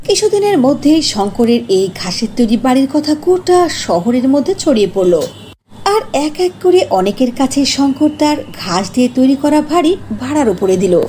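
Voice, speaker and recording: A woman's voice: high voice, warm tone, slight background noise. A woman narrating a scripted fairy tale as a storyteller.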